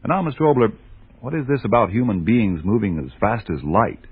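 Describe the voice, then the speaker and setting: A man's voice: lowish voice, big pitch swings, fairly quick, breathy edge. An American man announcing in emphatic 1940s radio sponsor style. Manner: emphatic sales delivery. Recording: tinny 1940s radio recording.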